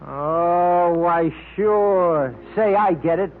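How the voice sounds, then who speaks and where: An eager sidekick in an old detective serial. He pitches high and sounds earnest, a little puzzled and excitable. The sound is tinny and boxed-in, like 1940s radio. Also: extremely slow, a little hiss or hum. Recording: tinny vintage radio recording.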